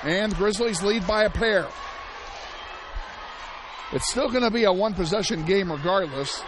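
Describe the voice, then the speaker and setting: A high-pitched man calls a close high school game live, his voice going hoarse as he shouts the big moments. He fills the timeouts with chatty, self-deprecating asides. A roaring gym crowd and steady noise sit behind him. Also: moderate pace. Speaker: play-by-play announcer. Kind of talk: live basketball play-by-play.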